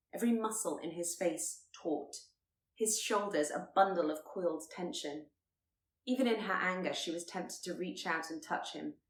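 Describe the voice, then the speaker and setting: A woman reading literary fiction aloud as an audiobook narrator, with expressive delivery. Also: fairly low pitch, reverberant.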